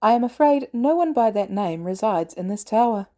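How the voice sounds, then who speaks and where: Lady reading as a computer butler guy, very proper and calm, soft mellow tone, storybook style. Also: medium pitch, lightly acted. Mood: cool, polite. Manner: formal, measured, polite. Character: cool, formal British AI butler, male, unflappable.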